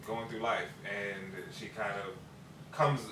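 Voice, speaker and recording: Low-voiced man explaining, halting. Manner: halting, informal, thinking aloud. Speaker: writer and filmmaker. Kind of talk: casual explanation in a group discussion. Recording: distant mic, echoey room, background noise.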